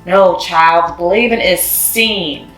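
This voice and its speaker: Jolly older storybook man, acted by a woman, warm, emphatic, reassuring, echoey room, music underneath. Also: on the low side, slow pace. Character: kindly, jolly older male school janitor. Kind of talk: children's storybook read-aloud. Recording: roomy echo, music behind.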